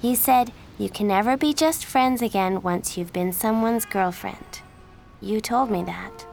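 A woman voicing a frank, earnest teenage girl, a little higher than her narration, in an audiobook reading. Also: measured pace, gravelly, darkish tone, faint music behind.

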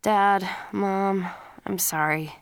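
A woman's voice: medium pitch, steady tone, extremely slow, smooth, no echo. Female reader voicing a remorseful teenage boy, earnest, dry close mic. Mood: apologetic.

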